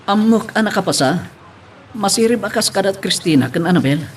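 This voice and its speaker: Man acting an eager, higher-voiced young Filipino soldier groom. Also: expressive, brisk. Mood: respectful. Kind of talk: Ilocano radio drama acting. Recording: faint background noise.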